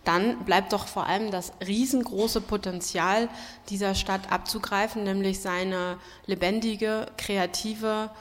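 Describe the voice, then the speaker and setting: Woman, panel speaker from Berlin, emphatic, opinionated, medium pitch, long hall reverb. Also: fairly even intonation, a little slow. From Berlin, Germany. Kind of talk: impassioned political panel discussion. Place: large hall at a public event.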